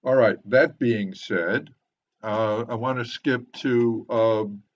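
An older man speaking in a measured, deliberate, professorial way as he moderates an online book discussion. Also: steady tone. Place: indoors, on a video call. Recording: muffled, low-fidelity video call.

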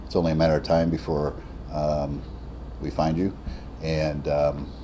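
Very deep-voiced middle-aged police commander. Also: male voice, level tone, noisy background. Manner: earnest, halting with fillers. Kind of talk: interview statement. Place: indoor office.

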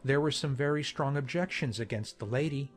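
A woman voicing a genial, formal gentleman colonel in a measured, understated way for a dramatized audiobook. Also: very deep voice, soft background music, pitched below the performer's own voice. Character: genial gentlemanly English colonel, adult man, lightly jesting. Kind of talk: dramatized audiobook character dialogue.

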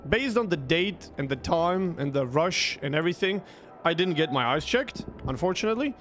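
A young man, a streamer, telling stories casually in a rambling, halting, conversational way into a close desk microphone. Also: fairly high pitch, very animated, fairly bright tone, faint music behind. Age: young adult. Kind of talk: casual livestream storytelling.

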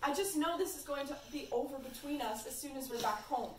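A woman voicing an anxious, lovesick teenage boy with halting, melodramatic delivery in an amateur fan fiction reading. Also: high-pitched, close to an everyday voice. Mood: lovesick, sad. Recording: roomy, distant mic, faint noise.

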